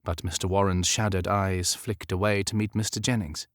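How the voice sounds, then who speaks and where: A man reading a historical romance audiobook in a measured, expressive storytelling manner. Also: deep voice, no room sound, studio quality. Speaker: audiobook narrator. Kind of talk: scripted literary narration of a historical romance.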